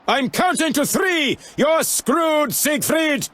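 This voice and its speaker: An actor playing a fanatical villain monk in a video game, furiously shouting threats. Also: male voice, very high voice, lively intonation, takes it slowly, heavily acted. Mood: furious, threatening.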